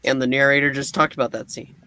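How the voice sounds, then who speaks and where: A man's voice: medium pitch, flat delivery, fast talker, pushed and bright, a little hiss or hum. A man reading narration from a comic book, with casual asides.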